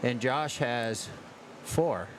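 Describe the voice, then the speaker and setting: An American man hosting a livestream from a sports event in a casual, halting way, pausing often mid-sentence. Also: mid-range voice. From United States. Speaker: livestream host at an ice hockey tournament. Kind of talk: casual live chat. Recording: clear urban ambient noise, live on location.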